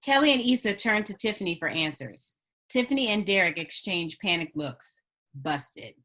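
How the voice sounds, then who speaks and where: A woman's voice: mid-range voice, animated, normal speed, fairly bright tone. A woman narrating stage directions from a script in a scripted reading style.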